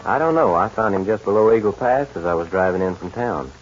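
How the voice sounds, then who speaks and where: An actor playing a loyal, worried cowhand neighbor in an old-time radio western, speaking hesitantly and earnestly. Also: male voice, fairly low pitch, lively intonation, fast, loud music behind the voice, natural voice. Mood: grave, hesitant. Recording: muffled, noisy vintage radio recording.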